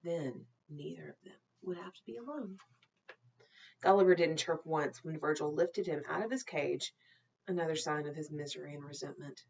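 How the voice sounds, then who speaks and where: A woman reads a children's novel aloud in a fairly low voice. Her delivery is expressive and deliberate, like a storytime reader. The recording is a little dull and lo-fi. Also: gravelly, slight background noise.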